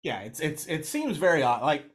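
A man's voice: fairly high pitch, wildly swooping pitch, moderate pace. Rambling male sports podcast co-host. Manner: rambling, many restarts and fillers.

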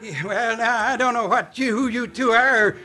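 An actor playing a stubborn, sputtering old trapper with folksy talk in a radio western. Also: male voice, very high voice, normal speed, bright, forceful tone. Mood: defiant. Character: cantankerous old male trapper riding a lazy horse. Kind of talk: 1940s-50s radio western acting.

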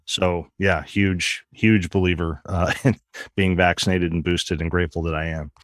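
A man's voice: sits low, fairly even intonation, moderate pace, a bit bright, no room sound, studio quality. A middle-aged man co-hosting a podcast, talking earnestly with many fillers and restarts while tired from an illness. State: recovering from covid, tired. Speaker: podcast co-host, religion professor. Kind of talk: casual podcast chat.

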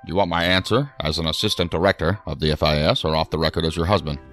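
A weary male assistant director in a science fiction audio drama. His voice is very low, with a dry, wry, measured and articulate manner. Faint music sits behind him. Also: fairly even intonation, a bit bright. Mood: weary, troubled.

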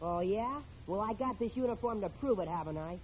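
A man's voice: high voice, lively intonation, brisk. Earnest hot-headed boy, swooping intonation, gee-whiz manner, old radio sitcom, muffled hissy recording. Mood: proud, defiant. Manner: eager, gee-whiz boyish talk. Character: earnest, loyal, hot-headed young son.

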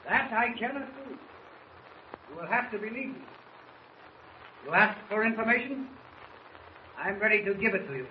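Very high-pitched, echoing sinister impostor hero. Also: male voice, expressive, moderate pace, long pauses. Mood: commanding, evasive. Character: sinister impostor claiming to be a superhero, evasive. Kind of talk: 1940s radio acting. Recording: noisy vintage radio, echoing, muffled.

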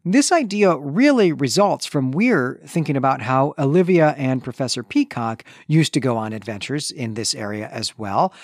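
A man's voice: medium pitch, expressive, normal speed, hardly any pauses, dry recording. Man recapping a book, very dry sound. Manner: explanatory, checks points with rhetorical "right?". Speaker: podcast co-host. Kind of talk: conversational literary recap and analysis.

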